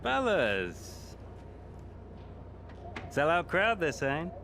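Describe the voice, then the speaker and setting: Smug, swooping-voiced rival racer. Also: male voice, on the high side, wildly swooping pitch, drawn-out words, creaky, full character voice. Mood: smug, mocking. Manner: swaggering, mock-matey. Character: smug male rival racing driver, needling and falsely friendly. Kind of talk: video game cutscene acting. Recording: loud outdoor vehicle and ambience noise.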